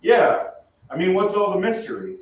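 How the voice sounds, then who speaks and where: A man's voice: high voice, animated, moderate pace, a little hiss or hum. A man playing a brash young American soldier in an old-time radio play, with breezy, slangy delivery. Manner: breezy, slangy, hesitant in bursts. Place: theatre stage. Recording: very echoey stage, distant mic, muffled.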